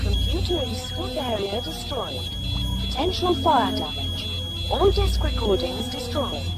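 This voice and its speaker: A woman's voice: on the high side, very animated, a little slow, over loud music, poor audio. A woman playing a ship's computer in a sci-fi audio drama, giving clipped, impersonal, formal emergency announcements. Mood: urgent warning.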